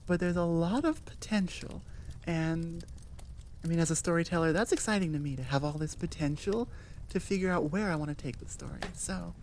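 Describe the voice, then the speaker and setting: A woman's voice: on the low side, a little fast, darkish tone, slight background noise. A woman creator chatting casually in an outro about her story, rambling and loose with many fillers.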